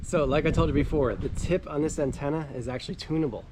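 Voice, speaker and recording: A man's voice: fairly high pitch, quick pace, background noise. A man talking casually and informally as the host of a do-it-yourself car vlog, using plenty of slang as he explains things. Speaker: host of a car DIY channel. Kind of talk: casual how-to vlog talk.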